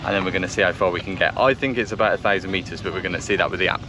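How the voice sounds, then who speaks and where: A man's voice: low voice, very fast, pushed and bright. A man presenting a casual outdoor vlog, thinking aloud and hesitating as he chats to camera and over a radio. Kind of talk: casual to-camera commentary and radio check chat. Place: outdoors by a vehicle in the countryside. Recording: noisy outdoor vehicle background.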